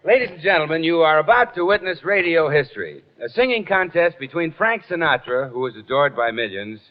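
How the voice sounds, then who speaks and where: High-pitched American 1940s radio announcer man. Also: very animated, fairly quick. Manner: upbeat salesmanlike. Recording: muffled, hissy 1940s radio broadcast.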